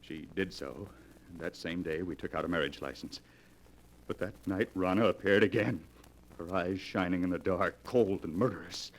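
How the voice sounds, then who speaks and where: A haunted young bridegroom with a low, deep voice. He confesses his fears with intense drama, in the style of a 1940s radio thriller. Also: moderate pace, slight background noise, poor audio. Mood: dread. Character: haunted, fearful young bridegroom recounting his torment to a doctor. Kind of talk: 1940s radio melodrama acting.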